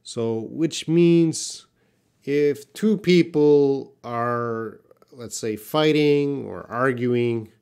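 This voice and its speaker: A man hosting a live English lesson, answering learner questions in a deliberate, emphatic way and repeating key points. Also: mid-range voice, darkish tone, smooth. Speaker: English teacher, live Q&A host.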